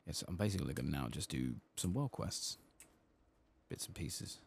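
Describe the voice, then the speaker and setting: A man giving soft-spoken ASMR commentary while playing a game, murmuring to himself on a close microphone. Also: a bass voice, animated, moderate pace, vocal fry, slight background noise. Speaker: gameplay streamer.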